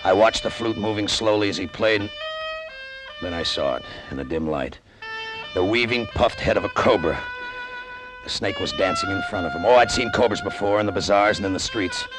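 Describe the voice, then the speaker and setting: A man's voice: fairly low pitch, lively intonation, a little fast, natural voice, poor audio. An American man playing a hard, hot-tempered, cynical cargo pilot in old-time radio drama, with a tough, clipped delivery. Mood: haunted, brooding. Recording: vintage radio drama with loud music.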